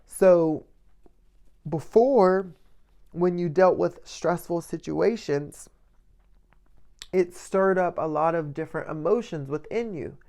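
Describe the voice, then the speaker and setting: A man with a light, soft voice giving a casual motivational monologue as a vlogger, often checking in with the listener. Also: high voice, slow, gravelly, pauses often. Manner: conversational, checks in often with "right?" and "you know".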